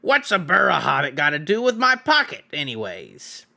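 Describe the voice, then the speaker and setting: William: a man doing a big slow-witted troll. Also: a little high, huge pitch swings, bright, forceful tone, heavily acted. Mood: gruff. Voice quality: rough, coarse common speech. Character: big dim-witted male troll, soft-hearted and slow.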